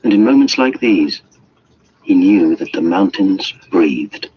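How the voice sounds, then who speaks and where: A man reading literary prose as a measured, descriptive storyteller. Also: deep voice, lively intonation. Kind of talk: scripted literary narration. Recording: dull lo-fi radio, faint noise.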